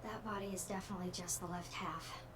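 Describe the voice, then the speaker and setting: An actress playing a blunt, questioning young detective in a video game, informal and direct. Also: female voice, on the low side, steady tone, fast, over loud music, close to an everyday voice. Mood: puzzled.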